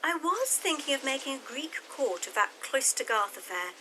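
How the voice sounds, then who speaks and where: An actress playing a brisk, opinionated young heiress in a period audio drama, with a genteel, imperious manner. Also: female voice, sits high, sing-song, pushed and bright, slight background noise, exaggerated voice, rough recording. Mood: musing, opinionated.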